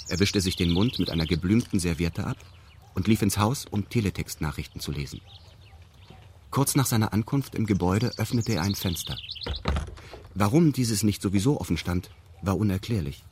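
Deep-voiced, deadpan actor as a male narrator. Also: background noise, natural voice. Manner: deadpan, formal, meticulous reporting. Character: detached male narrator recounting a weekend with pedantic precision. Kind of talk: scripted first-person radio drama narration.

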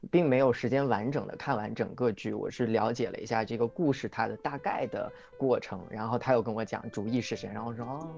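A man's voice: medium pitch, fast talker, vocal fry, few pauses, a little mellow. A man, a singer appearing as a guest, chatting casually on a livestream and explaining things in reflective detail. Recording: dull lo-fi audio, music behind. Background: background music.

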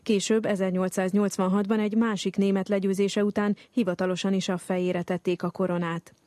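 A woman narrating an archive radio documentary, reading in a steady, even way. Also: medium pitch, dry recording.

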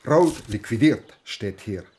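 A man's voice: fairly low pitch, animated, takes it slowly, warm tone, slight background noise. A man acting a dry, courteous Estonian police inspector in a German radio drama. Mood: matter-of-fact.

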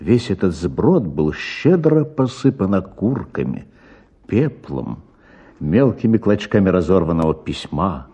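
A man's voice: sits low, big pitch swings, a little slow, warm tone, slightly rough. A man narrating a literary short story in a dramatic audiobook style.

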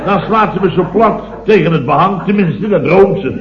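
A man's voice: sits high, animated, moderate pace, crowd noise. An actor playing a flustered, long-winded married man rambling emphatically in a 1970s radio comedy sketch. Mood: aggrieved. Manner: rambling, emphatic, peppered with tag questions. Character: married man, flustered long-winded comic storyteller complaining about wife. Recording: dull old radio recording, roomy, audience laughter.

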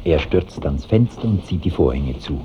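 A man acting as the composed narrator of a radio play, reading stage directions in a measured, literary way. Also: rumbling low, animated, mellow. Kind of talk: scripted radio drama narration. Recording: muffled old radio recording with faint hiss.

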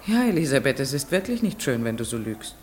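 An actress playing a young village schoolteacher in an old radio play, questioning a pupil calmly and narrating in first person. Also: female voice, a bass voice, a little fast, noisy background. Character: young unmarried female village teacher, strict but kind.

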